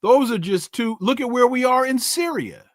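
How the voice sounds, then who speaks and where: A man's voice: very high-pitched, expressive, normal speed, dry recording, studio quality. A man hosting a podcast, giving emphatic commentary with rhetorical questions and folksy sayings before bringing on his guest. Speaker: podcast host. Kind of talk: news commentary and interview opening.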